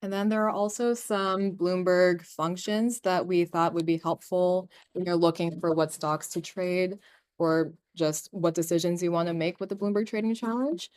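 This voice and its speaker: A young woman teaching assistant talks a class through tips in a lecture room. Her voice is low for a woman and smooth and clear. She sounds chatty, with plenty of "um" and "like.". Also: a little mellow, no room sound, clean studio sound. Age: young adult. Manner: chatty, with fillers like "um" and "like". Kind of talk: classroom presentation.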